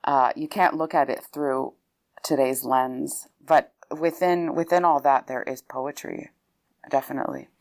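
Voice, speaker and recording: A low-voiced woman from Lebanon who grew up speaking Arabic talks about her singing career. She speaks thoughtfully, circles back, restarts her sentences and laughs easily. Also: fairly even intonation, unhurried. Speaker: opera singer, guest. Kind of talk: conversational interview.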